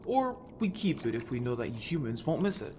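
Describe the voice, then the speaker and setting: A man's voice: mid-range voice, very animated, moderate pace, background noise, lightly acted. A man playing a youthful, eager but evasive merman in an audio story, talking in a casual, informal way. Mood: cheerful, playful. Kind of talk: audio drama roleplay.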